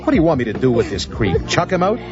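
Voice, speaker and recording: A man's voice: medium pitch, wildly swooping pitch, fast talker. An actor playing a sleazy, pushy and belligerent man at a party in a comic audio drama. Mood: irritated, menacing. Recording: dull lo-fi sound, loud music behind.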